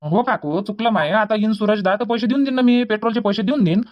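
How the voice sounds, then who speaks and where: Someone voicing a fast-talking young village man. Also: mid-range voice, lo-fi sound, close to an everyday voice. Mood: apologetic. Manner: apologetic, hesitant, starts lines with "uh". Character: polite young village man borrowing a bike.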